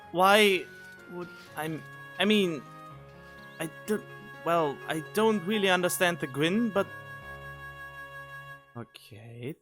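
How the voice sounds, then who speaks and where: Animated high-voiced man reacting to a game. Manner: puzzled, thinking aloud. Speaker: gamer reacting to game cutscenes. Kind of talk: casual reaction commentary. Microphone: studio condenser microphone. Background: music from game cutscenes.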